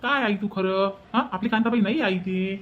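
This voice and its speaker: Guy doing a village son in a cartoon drama, highish voice, placating but a bit fed up, vidarbha marathi accent, muffled audio. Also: normal speed, background noise, natural voice. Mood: insistent. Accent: rural Vidarbha Marathi. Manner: placating, insistent. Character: reasonable village son soothing his mother, mildly exasperated. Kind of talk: cartoon family drama dialogue. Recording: dull lo-fi sound.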